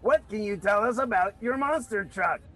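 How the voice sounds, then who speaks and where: A brisk event host played by a man with a high, very lively voice, easily exasperated, in comedy-sketch style.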